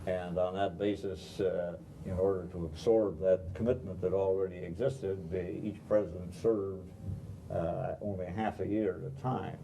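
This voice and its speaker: A man's voice: fairly even intonation, moderate pace, gravelly, dark tone, a little hiss or hum, low-quality recording. An older man from Washington state explaining past events as a panelist, unscripted. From Washington state, USA. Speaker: panelist, former society president. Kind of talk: unscripted recollection. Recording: rumbly 1990s video recording.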